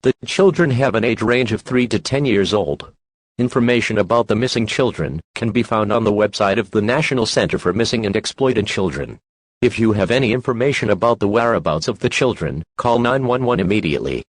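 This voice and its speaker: Man as an impersonal emergency alert voice: formal, measured, very dry sound. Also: on the low side, slightly rough, no echo. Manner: flat, formal, measured delivery. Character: impersonal male emergency broadcast announcer.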